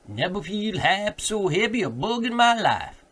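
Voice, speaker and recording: A man voicing an excitable servant who insists earnestly in thick, folksy dialect for a story reading. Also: high voice, a little slow, breathy edge, pitched above the performer's own voice, exaggerated voice. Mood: insistent. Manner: emphatic, folksy, dropped words.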